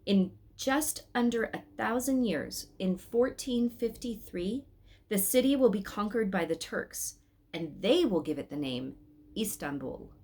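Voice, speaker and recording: A woman's voice: medium pitch, lively intonation, a little slow, darkish tone, breathy edge. A woman reading a story aloud as a scripted narration. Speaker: reader of an Advent story.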